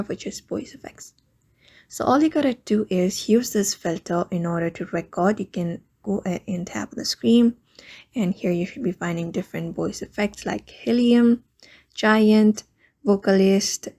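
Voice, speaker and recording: Gravelly, husky woman giving a tutorial. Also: medium pitch, a little mellow. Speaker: tutorial host. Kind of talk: spoken step-by-step screen tutorial.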